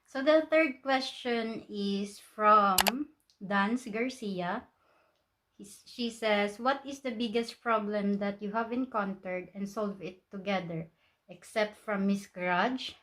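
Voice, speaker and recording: Filipino woman in her 20s, bright voice, chatty and giggly, reading out viewer questions at home. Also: medium pitch, slow pace, slight background noise. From Philippines. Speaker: vlogger co-host. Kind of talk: casual Q&A chat.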